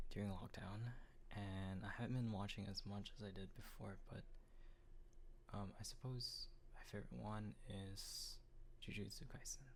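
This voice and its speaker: A man, a voice actor, answering fan questions in a soft, half-whispered way, hesitant and full of pauses. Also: on the low side. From Texas, US. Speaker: voice actor, channel host.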